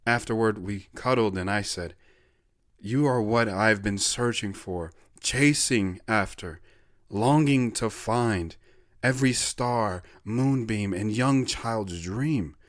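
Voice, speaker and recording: A sincere former soldier played by a male actor tells his story in a low voice, close and dry. He is reflective and sentimental, in the style of a dramatic audio play. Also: slow, dry recording. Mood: tender. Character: earnest, wandering male war veteran telling his life story.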